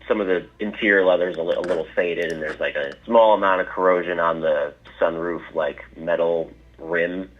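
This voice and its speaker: Hesitant deep-voiced man on a muffled phone line. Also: steady tone. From Chicago area, USA. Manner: hesitant, halting, many restarts. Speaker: caller selling a car. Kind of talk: phone conversation. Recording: dull phone-call audio.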